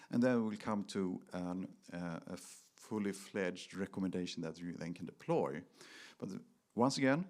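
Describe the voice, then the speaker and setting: A man giving a technical product presentation to an audience, speaking in a halting, emphatic way with slightly non-native phrasing. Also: on the low side. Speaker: conference presenter.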